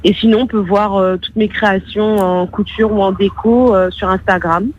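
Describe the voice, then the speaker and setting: A woman's voice: medium pitch, fairly even intonation, normal speed. A woman chatting informally and a little hesitantly as an interview guest. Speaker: guest, singer and self-taught designer. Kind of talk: casual interview chat. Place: remote call near traffic. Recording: dull lo-fi phone call from street.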